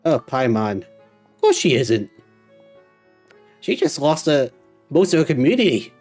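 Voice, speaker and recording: A woman's voice: rumbling low, very animated, unhurried, darkish tone, soft background music. A woman game streamer giving casual commentary as she plays.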